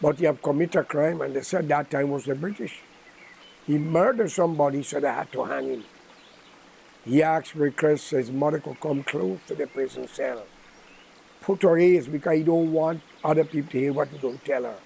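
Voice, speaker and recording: A man's voice: fairly high pitch, normal speed, vocal fry, a little mellow, breathy edge, rough recording. An older man with a Guyanese accent giving an impromptu political address in a preachy, admonishing, emphatic manner. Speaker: political commentator.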